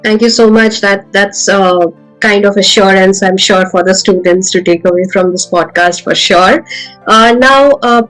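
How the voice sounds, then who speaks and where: A woman's voice: medium pitch, normal speed, a bit bright, poor audio. Female podcast host, hesitant questioner, faint music underneath. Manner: hesitant, many fillers. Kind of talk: interviewing with questions.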